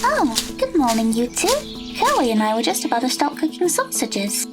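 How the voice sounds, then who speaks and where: A woman's voice: sits high, big pitch swings, normal speed, music in the background. A woman playing a friendly, eager teenage girl with a bubbly manner in an audio drama.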